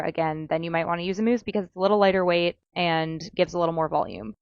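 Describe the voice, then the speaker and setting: A woman's voice: lowish voice, no echo, lo-fi sound. A woman giving casual, chatty advice as a hair care vlogger, running through recommendations with informal emphasis. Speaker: hair care content creator.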